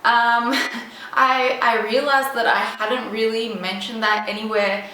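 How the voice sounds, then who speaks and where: A woman's voice: a little high, little pitch movement, slow, sharp tone. A woman in her twenties from Estonia, chatting loosely in a Q&A vlog, full of ums and likes. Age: 20s. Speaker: vlogger. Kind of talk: casual Q&A to camera. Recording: echoey room, mic at a distance.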